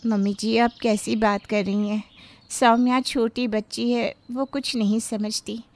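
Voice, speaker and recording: A woman's voice: on the high side, flat delivery, a little slow, slight background noise. A woman voicing an earnest young mother who stands up firmly for her daughter. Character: young mother, hurt but determined wife.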